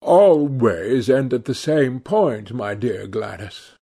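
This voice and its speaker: A man voicing a languid, witty, cynical aristocratic gentleman in an audiobook, with drawling, epigrammatic speech. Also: mid-range voice, expressive, slow pace, husky, full character voice. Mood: languid, teasing.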